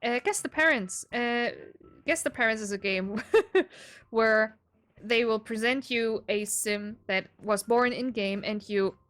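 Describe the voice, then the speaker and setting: A woman's voice: a little high, a little slow, a bit bright. A woman in her twenties with a German accent, casually explaining her game setup in a chatty, self-deprecating way, often breaking into a laugh. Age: 20s. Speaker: gaming video creator.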